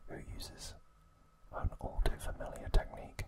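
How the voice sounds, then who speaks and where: An ASMR host narrating in a hushed, breathy, unvoiced whisper, slow and unhurried. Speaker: ASMR host recapping an anime series.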